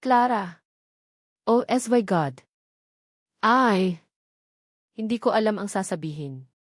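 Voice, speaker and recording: A woman's voice: mid-range voice, animated, takes it slowly, no echo. Woman narrator as worried female best friend, exclaiming, questioning, loyal, storybook dialogue, dry sound. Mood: disbelieving. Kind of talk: dramatic audio storybook dialogue.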